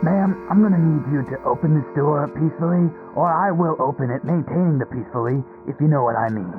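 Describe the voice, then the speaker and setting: Stern, deadpan male cop, played by an actor, thin muffled sound as if behind a door, faint music, radio comedy. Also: on the high side, a little fast. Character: deadpan police officer calling through a closed door. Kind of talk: old-time radio comedy acting.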